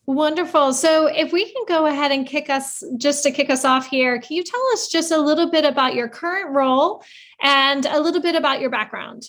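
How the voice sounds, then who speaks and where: A woman with a high voice hosts a podcast interview. She welcomes the guest and asks questions in an upbeat, friendly way. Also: normal speed, a bit bright. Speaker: podcast host.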